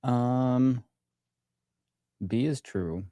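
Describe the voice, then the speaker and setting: A man guest on a casual finance panel, unsure and halting. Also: mid-range voice, mellow, dry recording, studio quality.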